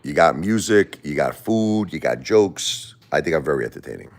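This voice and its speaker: A man with a very low voice chats on his home livestream. He talks bluntly, doubles back on his words and argues with critics in the chat. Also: expressive, moderate pace. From Brooklyn, New York. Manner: blunt, repeats himself, spars with critics. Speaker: livestream host. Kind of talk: casual livestream chat answering viewers.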